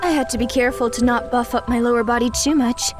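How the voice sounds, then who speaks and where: A dainty young woman martial artist voiced by a woman talks in a high, earnest voice. She is polite and formal, with pride in her skill. Audio drama music sits clearly behind her. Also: fairly even intonation, fast, close to an everyday voice. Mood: proud.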